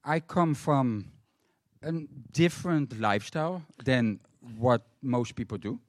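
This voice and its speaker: A man who is not a native English speaker, preaching informally in short, halting phrases with pauses for an interpreter. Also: medium pitch, expressive, no room sound, studio quality. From Netherlands or Denmark. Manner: halting, repetitive, informal storytelling. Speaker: guest preacher.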